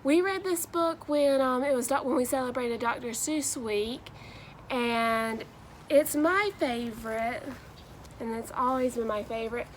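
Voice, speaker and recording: A woman, reading aloud to children in a deliberate, sing-song storybook way. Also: high-pitched, a little hiss or hum, rough recording. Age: 20s. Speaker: new education graduate reading a children's book.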